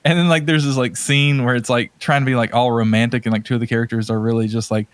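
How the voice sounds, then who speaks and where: A man's voice: mid-range voice, steady tone, fast, smooth, no echo. A man chatting casually as a podcast co-host, telling anecdotes in slangy, emphatic, exaggerated language. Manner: informal slangy speech, emphatic and hyperbolic.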